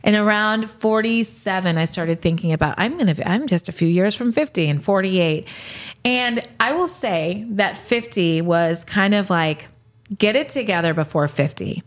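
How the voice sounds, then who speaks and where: A woman in her late forties, a podcast host, answering rapid-fire questions in a candid, reflective way and laughing easily. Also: medium pitch, expressive. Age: 40s.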